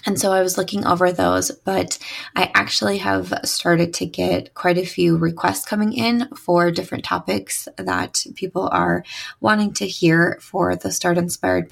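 A young woman hosting her own podcast, chatty and upbeat, with a raspy early-morning voice and lots of "uh" fillers. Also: fairly low pitch. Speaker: podcast host. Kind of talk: casual solo podcast talk.

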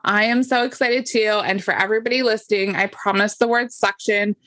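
A woman's voice: medium pitch, fairly even intonation, brisk, a bit bright, no echo. A woman hosting a podcast, talking in an upbeat, friendly way with her guest. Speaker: podcast host. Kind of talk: casual podcast interview.

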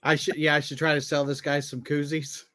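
A man's voice: on the high side, flat delivery, a little fast, bright, forceful tone, no echo. A man who co-hosts a comedy podcast, chatting casually in a hesitant, self-deprecating way. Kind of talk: casual chat.

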